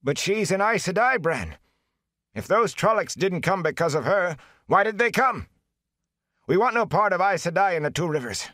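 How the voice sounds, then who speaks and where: Old village thatcher, sullen and muttering, voice pushed higher, as a man puts him on. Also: sing-song, moderate pace, a bit bright, no room sound, clean studio sound. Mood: pleading. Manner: muttering, pleading.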